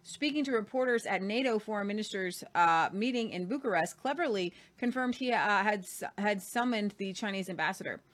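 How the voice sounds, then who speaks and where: A woman's voice: medium pitch, animated, brisk, few pauses, a bit bright. A woman hosting a news talk show, reading articles aloud with commentary and frequent "uh" fillers. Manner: reads steadily with frequent "uh" fillers.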